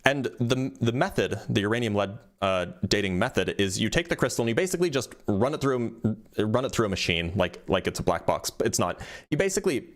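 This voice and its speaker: Low-voiced man hosting a casual debate. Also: lively intonation, a little fast, hardly any pauses. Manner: patient, explanatory, frequent restarts. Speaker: debate host.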